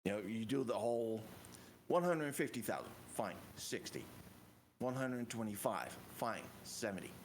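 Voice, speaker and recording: A man's voice: mid-range voice, lively intonation, moderate pace, slight background noise. A man talking casually as a player in a tabletop roleplaying game.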